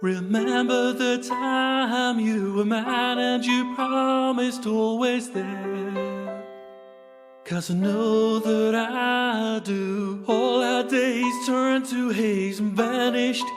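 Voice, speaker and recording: A woman's voice: fairly high pitch, steady tone, very slow. A woman singing a pop ballad with music behind her. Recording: long reverb, music backing.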